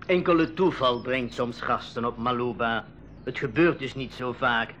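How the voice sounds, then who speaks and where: An actor playing a welcoming island guru in a school radio drama, speaking in a ceremonious, flowery way. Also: male voice, mid-range voice, moderate pace, a bit bright, lo-fi sound, a little hiss or hum, lightly acted. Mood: warmly formal. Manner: ceremonious, flowery, hospitable speech.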